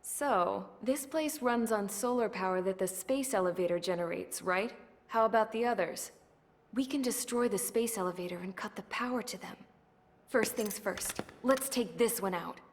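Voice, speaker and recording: A woman's voice: medium pitch, normal speed, lightly acted. An actress voicing a blunt, plain-spoken young woman mechanic and pilot in a game cutscene, wry and down to earth. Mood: determined. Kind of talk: game cutscene dialogue and narration. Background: loud music score.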